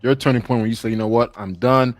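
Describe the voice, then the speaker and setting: A man's voice: medium pitch, steady tone, fast talker, dry recording. A man hosting a podcast, asking curious, probing questions. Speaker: podcast host. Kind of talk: podcast interviewing.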